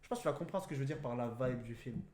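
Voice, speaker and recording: Fast-talking young man, roomy echo. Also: medium pitch. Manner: animated rambling explaining, slang-heavy with filler words. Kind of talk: casual slangy chat about films. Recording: roomy echo, faint background noise.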